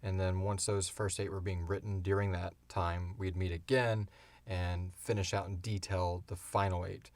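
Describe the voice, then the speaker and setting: A man's voice: low-pitched, little pitch movement, normal speed, a little mellow, no echo. A middle-aged man hosting a podcast, chatting casually in a rambling, informal, self-deprecating way. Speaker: podcast host and audio drama creator. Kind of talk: casual Q&A chat. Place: home podcast studio.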